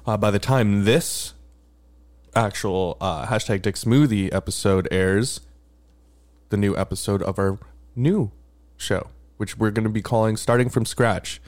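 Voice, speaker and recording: A man's voice: lowish voice, a little slow, a little mellow. A man co-hosting a casual podcast, rambling with lots of fillers and jokes. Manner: rambling, filler-heavy, joking. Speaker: podcast co-host.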